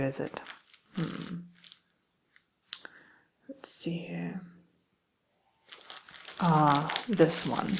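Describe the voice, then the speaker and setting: A woman acts out a wicked, courtly queen for an ASMR roleplay, murmuring slyly and often dropping to a whisper. Her low voice is breathy and crackles with fry, and she picks her words slowly, with polite menace. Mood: coy, vengeful. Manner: hushed, hesitant, politely wicked, slow deliberate phrasing. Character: sly, genteel evil queen selling cursed apples.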